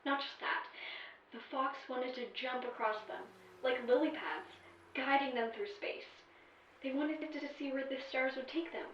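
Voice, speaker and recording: A woman's voice: sits high, measured pace, a little hiss or hum. A woman voicing a snippy, teasing artificial intelligence companion in an audio drama, with sighs and dramatic emphasis. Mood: storytelling. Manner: sassy, sighing, dramatic emphasis. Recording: echoey, distant, dull-sounding voice.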